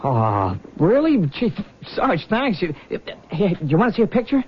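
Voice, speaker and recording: An actor playing an eager, nervous young army recruit in love, halting and earnest, in 1970s radio drama style. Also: male voice, high-pitched, wildly swooping pitch, a little hiss or hum, exaggerated voice. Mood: excited, proud. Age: young adult. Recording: dull old radio recording.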